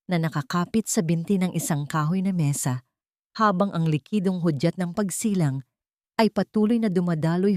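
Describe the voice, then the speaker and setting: A woman narrating a dramatic scripted story with deliberate, theatrical phrasing. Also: lowish voice, darkish tone, no echo, clean studio sound.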